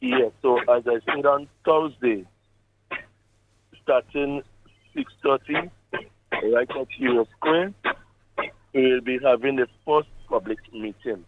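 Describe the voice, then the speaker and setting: A man's voice: medium pitch, slow pace, long pauses, background noise. Grenadian man on a thin phone line. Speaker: caller. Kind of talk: phone-in announcement.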